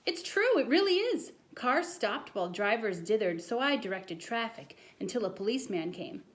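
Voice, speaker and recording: A woman voicing an earnest, excitable schoolboy who tells a tall storybook tale with dramatic insistence. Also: on the high side, moderate pace. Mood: pleading, insistent.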